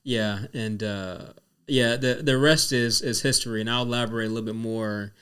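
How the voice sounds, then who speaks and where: A man in his forties from Virginia talks in a relaxed, chatty way and leans on a lot of fillers. His voice is smooth and resonant and sits at a medium pitch. He sounds like an easygoing podcast co-host. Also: moderate pace. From Virginia, Tidewater area. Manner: laid-back, chatty, many fillers. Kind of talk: casual chat.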